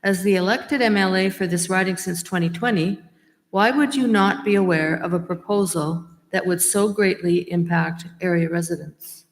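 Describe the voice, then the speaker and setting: A woman moderating a candidates meeting, reading audience questions in a measured way. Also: fairly low pitch. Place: church hall. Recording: long reverb of a hall.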